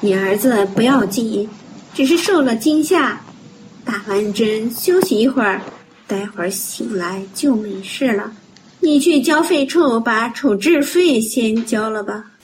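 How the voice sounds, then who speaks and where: 一位女演员在广播剧里饰演一位干练、安抚人的医院女医生。. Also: female voice, a little high, expressive, unhurried, a little hiss or hum, poor audio. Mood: reassuring. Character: brisk reassuring woman hospital doctor. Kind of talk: audio drama acting.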